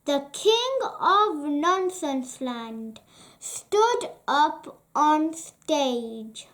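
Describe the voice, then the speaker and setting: A reader narrating a children's bedtime story in a deliberate, pausing storytelling way. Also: high-pitched, sing-song, a bit bright.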